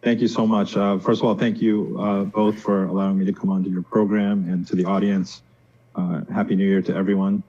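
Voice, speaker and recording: A man raised in the United States talks as a guest on a show, thoughtful and hesitant, pausing with fillers. His voice is low and mellow. The recording sounds dull and lo-fi. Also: flat delivery. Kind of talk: casual interview talk.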